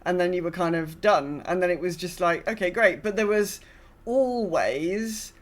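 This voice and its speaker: A woman's voice: lowish voice, moderate pace. A woman co-hosting a podcast, chatting casually about gaming in an informal, loosely phrased way. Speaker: podcast co-host.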